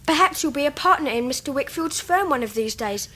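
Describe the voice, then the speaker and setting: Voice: high-pitched, animated, brisk, bright, forceful tone. A young performer playing a polite, earnest schoolboy in a radio drama, speaking courteously and candidly.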